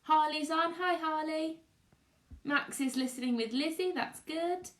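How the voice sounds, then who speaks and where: A woman, a teacher, giving an expressive live read-aloud of a children's story and chatting to her listeners. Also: high-pitched, unhurried. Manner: expressive storytelling with character voices. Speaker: teacher reading to pupils online. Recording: echoey room.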